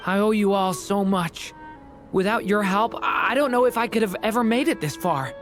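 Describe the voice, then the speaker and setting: An actor voicing a gentle, sincere young dragon boy hero in a video game. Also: medium pitch, normal speed, natural voice. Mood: sincere, grateful. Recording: music behind the voice.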